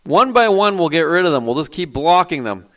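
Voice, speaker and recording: A man hosting a call-in show, brisk and dry, dismissive of prank callers. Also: on the high side, very animated, fairly bright tone.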